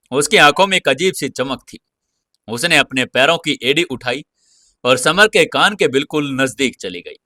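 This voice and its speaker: Bright-voiced man narrating a drama. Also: medium pitch, dry recording, clean studio sound. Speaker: audio story narrator.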